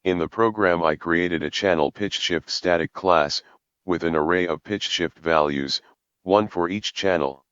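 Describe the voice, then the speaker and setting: A man presenting a scripted programming tutorial in a measured, explanatory way with formal wording. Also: sits low, fairly bright tone, clear, resonant tone, no echo, dull audio.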